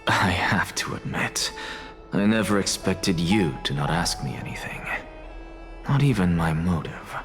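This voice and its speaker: Dying skeptical scholar, video game, low, slow, curt, dry. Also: male voice, expressive. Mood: reserved, weary. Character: dry, aloof, skeptical male scholar near death. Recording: echoing voice under loud music.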